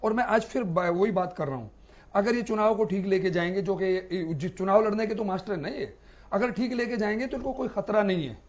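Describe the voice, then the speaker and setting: A man's voice: high voice, a little fast. A man arguing as a TV debate panelist, point by point, challenging with yes-or-no questions. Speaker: debate panelist, political commentator. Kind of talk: argumentative TV debate talk. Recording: dull, band-limited broadcast audio.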